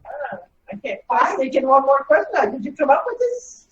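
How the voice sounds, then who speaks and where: Animated woman player, roomy and distant. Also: high-pitched, fairly quick, crowd noise. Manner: playful, animated. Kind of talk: casual in-character play. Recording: far-off echoey dull mic.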